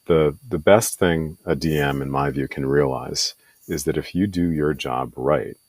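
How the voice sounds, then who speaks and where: A man's voice: rumbling low, unhurried, a little mellow, no echo. A middle-aged man presenting a game tutorial from his home laundry room, earnest and self-deprecating with frequent ums. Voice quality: radio voice. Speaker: indie game publisher and video presenter.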